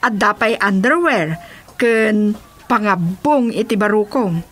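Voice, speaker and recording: An actress playing a pushy, showy peddler of assorted goods in a comedy radio drama, with an Ilocano Filipino accent. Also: female voice, mid-range voice, animated, slow. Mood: salesy, eager.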